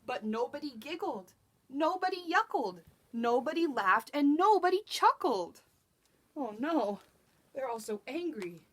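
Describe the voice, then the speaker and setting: Expressive woman reading a children's storybook. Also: high voice, measured pace. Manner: rhythmic, dramatic emphasis. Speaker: teacher reading aloud.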